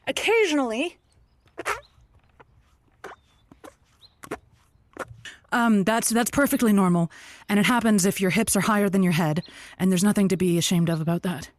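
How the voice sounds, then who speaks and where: A woman's voice at medium pitch, recorded dry and close, an actress playing an advice host in a comedy audio drama. She is theatrical and hesitant, slipping sly, jealous asides under her helpful patter. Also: lively intonation, fast, no echo, natural voice. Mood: awkward explaining. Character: female radio advice host, awkwardly game, slyly jealous.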